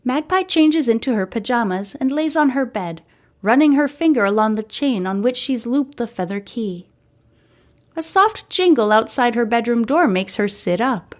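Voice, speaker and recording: A woman's voice: fairly high pitch, animated, moderate pace. A woman hosting a story podcast, reading scripted fiction narration.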